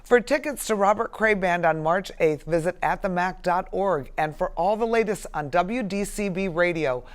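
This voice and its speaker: A woman's voice: on the low side, animated, moderate pace. A woman hosting a show, speaking in an appreciative, encouraging way as she interviews and announces events. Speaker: show host.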